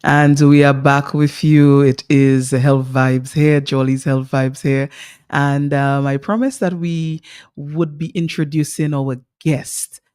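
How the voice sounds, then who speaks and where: A woman's voice: deep voice, little pitch movement, slow pace, a little mellow, clear, resonant tone, no echo, clean studio sound. A woman hosting a radio health talk show, speaking in a friendly, rambling way with restarts.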